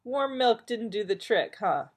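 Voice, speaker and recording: A woman's voice: fairly high pitch, animated, measured pace, husky, pitched above the performer's own voice. Woman doing a beaming, folksy townsman. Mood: cheerful. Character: cheerful, easygoing small-town man.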